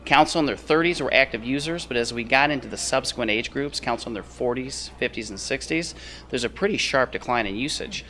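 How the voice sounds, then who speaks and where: A man's voice: medium pitch, brisk, pushed and bright, a little hiss or hum. A man speaking as an expert interview guest at a conference, explaining findings in his answers. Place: on location at a conference.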